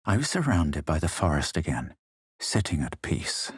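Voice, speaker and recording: An actor playing a haughty, brooding young adventurer in a fantasy video game, speaking in a measured, dry, superior way. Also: male voice, extremely low pitch, sing-song, slightly rough, no room sound, exaggerated voice. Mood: brooding, grave. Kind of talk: video game voice acting, dramatic narration and remarks.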